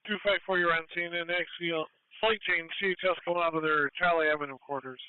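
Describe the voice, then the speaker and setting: Quick, higher-voiced male dispatcher on radio. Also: steady tone, smooth, no echo. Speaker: fire and EMS dispatcher. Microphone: two-way radio. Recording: narrow tinny scanner radio channel.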